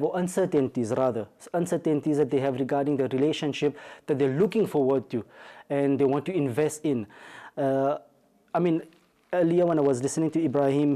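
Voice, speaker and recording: Man, Mauritian accent, dark mellow tone, measured, hesitant restarts, talk show guest. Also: on the high side. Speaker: marital counselor and Islamic studies teacher.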